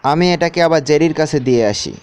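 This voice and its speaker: A man's voice: fairly high pitch, expressive, a little fast, a little mellow, smooth, close to an everyday voice. A man voicing a hapless cartoon cat on a mouse chase, exclaiming melodramatically in a comedy dub. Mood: squabbling.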